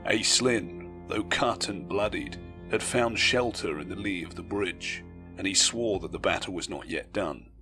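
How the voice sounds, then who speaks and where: A man giving grave, measured scripted narration of a dramatic fantasy battle story. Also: deep voice. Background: music.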